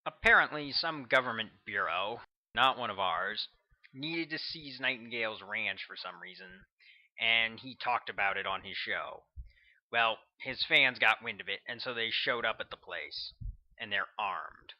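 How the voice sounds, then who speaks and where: A man's voice: lowish voice, expressive, a little slow, dull audio. A man voicing a blunt, matter-of-fact policeman briefing urgently in an audiobook.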